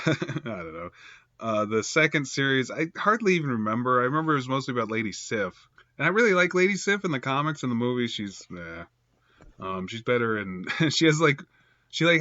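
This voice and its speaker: A man's voice: on the low side, pushed and bright, no echo, lo-fi sound. A man with a stuffed-up cold voice talking casually and rambling, full of fillers and self-corrections. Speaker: comic book reviewer. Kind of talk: casual improvised review.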